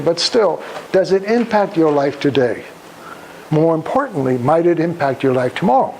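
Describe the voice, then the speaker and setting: An elderly man from Poland giving a public talk, speaking deliberately in halting phrases and putting questions to his listeners. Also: fairly high pitch, darkish tone. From Krakow, Poland. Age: over 75. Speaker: guest speaker, Holocaust survivor. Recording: noisy live room recording.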